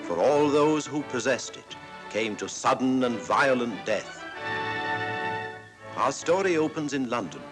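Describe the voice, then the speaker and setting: A man narrating a 1940s film as a grave, ominous storyteller, measured and dramatic. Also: medium pitch, animated, music in the background, close to an everyday voice, low-quality recording. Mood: solemn, ominous. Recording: old film soundtrack, loud score.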